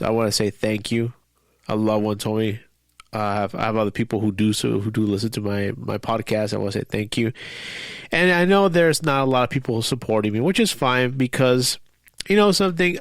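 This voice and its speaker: A man's voice: mid-range voice, dry recording, studio quality. A man hosting his own podcast while sick with a cold and stuffy. He rambles, restarts sentences and sounds defiant at times. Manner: rambling, repeats words, frequent fillers; defiant, frustrated. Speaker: podcast host. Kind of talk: casual solo podcast monologue.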